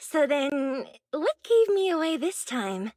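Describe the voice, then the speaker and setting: Very high, animated bubbly young pop star. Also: female voice, dry recording, clean studio sound, full character voice. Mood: playful teasing. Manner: expressive, teasing, girlish, exaggerated reactions. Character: bubbly, playful young female pop star, stubborn and impulsive. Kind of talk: animated video game voice acting.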